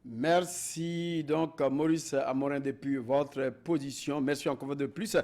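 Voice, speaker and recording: Panel show host, male, slightly high pitch, stop-start phrasing. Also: steady tone, slow, darkish tone. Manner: hesitant, many fillers. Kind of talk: hosting a panel debate.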